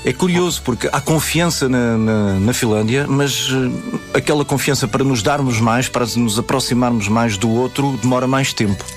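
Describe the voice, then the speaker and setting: A man's voice: mid-range voice, moderate pace, soft background music. A man from Portugal with a European Portuguese accent, hosting a conversational interview with long, wordy questions and affirming replies. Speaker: interviewer, host.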